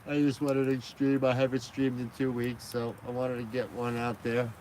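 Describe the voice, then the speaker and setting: A man rambles easily on a live stream from beside an outdoor fire at night. His voice sits at an ordinary middle pitch. The phone on a gimbal picks up plenty of outdoor noise around him. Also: level tone, normal speed, a little mellow, poor audio. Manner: rambling, chatty, laughs easily. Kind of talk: casual live-stream chat.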